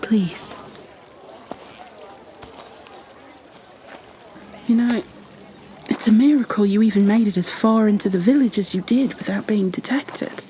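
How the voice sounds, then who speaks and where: A woman playing a kind, flustered young bookkeeper in a fantasy ASMR roleplay, speaking softly and breathily, often near a whisper, with gentle hesitations and apologies. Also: medium pitch, normal speed, a little hiss or hum, close to an everyday voice. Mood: hushed, urgent. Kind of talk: ASMR roleplay, addressed to the listener.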